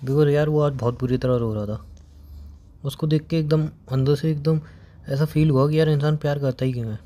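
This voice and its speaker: Tearful hoarse young man on a call. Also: fairly high pitch, fairly even intonation, warm tone. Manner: subdued, halting, reluctant to open up. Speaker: boyfriend on a phone call. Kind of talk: emotional phone conversation.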